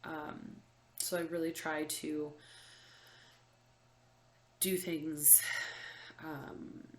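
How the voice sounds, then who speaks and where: A woman's voice: deep voice, little pitch movement, extremely slow, roomy sound. A young woman with a stuffy, congested voice chatting casually to camera as a vlogger, with lots of ums and likes. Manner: chatty, many ums and likes, laughs often. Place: room with window open, indoors.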